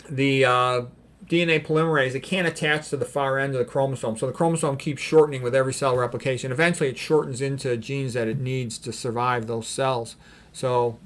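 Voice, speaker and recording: A man giving an informal lecture in a blunt, opinionated, emphatic way, talking conversationally with rhetorical questions and frequent check-ins. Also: medium pitch. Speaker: physician giving health commentary. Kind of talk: informal lecture, reading quotes and commenting.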